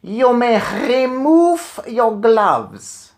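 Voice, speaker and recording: A man voicing a tyrannical female Grand High Witch from a storybook, barking slow, harsh commands in a foreign accent. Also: big pitch swings, a little mellow, full character voice. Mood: imperious, menacing. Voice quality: harsh, rasping, snarling, guttural.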